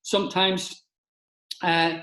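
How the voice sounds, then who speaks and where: A man's voice: sits high, little pitch movement, very slow, pushed and bright, echoey room. An older man from Northern Ireland with a Northern Irish accent, giving an informal talk with a slideshow, chatty, with restarts and asides to his listeners.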